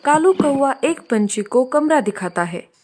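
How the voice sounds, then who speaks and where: A woman's voice: high-pitched, expressive, measured pace, a little mellow. A woman narrating a scripted story for children.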